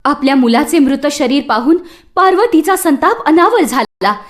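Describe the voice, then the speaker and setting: A woman narrates a children's myth from a script. Her voice is high and very animated, with expressive, dramatic storytelling delivery. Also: normal speed.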